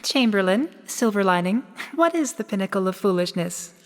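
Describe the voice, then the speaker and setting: Audio drama role: serene pony monarch, female actor, measured and regal, medium register, reverberant throne hall sound. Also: medium pitch, expressive, noisy background, close to an everyday voice. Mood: composed authority. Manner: stately, gracious, measured. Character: regal, composed, ancient female pony princess. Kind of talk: fan-made radio drama acting.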